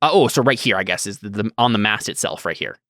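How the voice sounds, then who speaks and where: A man's voice: lowish voice, expressive, fast talker, pushed and bright, no room sound, clean studio sound. A young man running a tabletop role-playing game as game master, talking casually and thinking aloud as he reads rules text.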